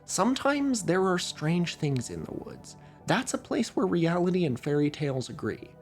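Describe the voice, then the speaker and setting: Documentary narrator, male, from Oregon; wry, lively delivery over light music. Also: normal speed. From Oregon, USA. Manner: wry, conversational storytelling with lively pitch swings.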